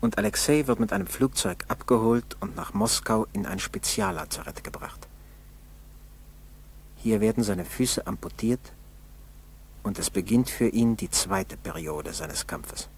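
A man narrating a scripted 1960s radio play in a grave, measured, husky voice. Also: mid-range voice, animated.

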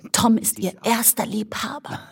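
An actress in a radio drama playing a chatty, knowing society gossip with a confiding, insinuating manner. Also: female voice, a little high, fairly even intonation, moderate pace, vocal fry, a little hiss or hum.

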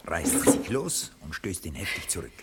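A man's voice: low voice, big pitch swings, normal speed, creaky, in a whisper. A man narrating a radio play, reading scripted stage directions between the dialogue. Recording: echoey old recording with loud noise.

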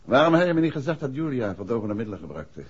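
An actor playing a self-assured, wry detective husband with a brisk, teasing manner, in 1960s radio drama style. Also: male voice, mid-range voice, big pitch swings, slightly rough, no echo. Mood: probing, confident. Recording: dull, noisy 1960s radio recording.

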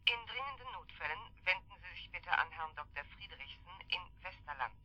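An actress playing a formal telephone answering machine announcer in standard German, heard through a phone filter. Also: female voice, on the low side, lively intonation, brisk, breathy edge. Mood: formal, neutral. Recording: dull band-limited telephone answering machine.

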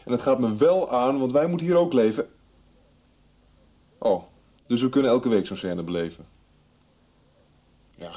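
A man playing an exasperated, protective partner in a radio soap, halting but insistent, with a dry wit. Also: medium pitch, dark tone, natural voice. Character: exasperated, protective male partner, drily teasing. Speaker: actor in a radio serial. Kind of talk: 1990s Dutch radio soap acting. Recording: slightly dull radio recording.

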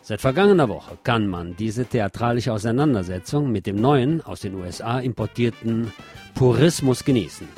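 A man's voice: mid-range voice, animated, moderate pace, a little mellow. Wry man presenting over music. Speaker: radio show host. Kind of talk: scripted magazine commentary with spoken asides. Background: music under the voice.

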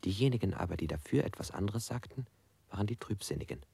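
An actor playing a bound, beaten male prisoner in a radio drama, talking in a halting, bitter, musing way. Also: lowish voice, lively intonation, creaky, dark tone, husky, dry recording, exaggerated voice. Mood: brooding, bitter.

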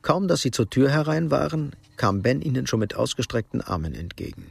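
A man narrating a German radio crime drama in a measured, sober way. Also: mid-range voice, big pitch swings, vocal fry, slight background noise. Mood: matter-of-fact. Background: music.

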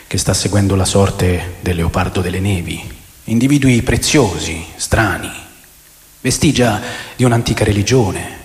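An actor playing a wistful, intense rock fan narrating a radio drama monologue in a theatrical way, from hushed to emphatic. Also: male voice, fairly low pitch, natural voice. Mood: reverent. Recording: long reverb, clear music bed.

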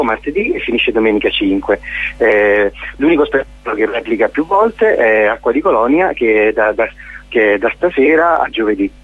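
A man's voice: medium pitch, husky. Hesitant man on a phone line. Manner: hesitant, many fillers and restarts. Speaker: theatre artist, interview guest. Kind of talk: radio phone interview.

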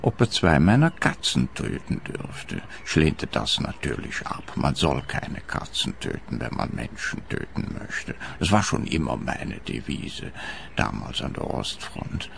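A man's voice: extremely low pitch, big pitch swings, unhurried, gravelly, fairly bright tone, a little hiss or hum, heavily acted. A man acting an eccentric, placidly morbid old war veteran in a radio play. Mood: placid, earnest.

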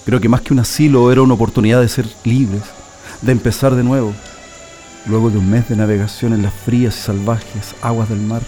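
A man's voice: medium pitch, level tone, fairly quick, mellow, faint music behind, natural voice. An actor playing a proud, nostalgic political prisoner in a radio drama, telling his story reflectively with a Chilean accent. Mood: solemn, nostalgic. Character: male political prisoner, proud nostalgic communist militant, immigrant's son.

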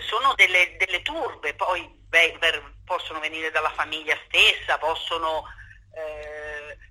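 Woman, very sharp bright voice, emphatic, wordy, impassioned interviewee, phone call. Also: on the low side. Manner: emphatic, impassioned, wordy, often seeks agreement. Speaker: theatre director being interviewed. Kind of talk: spontaneous interview talk.

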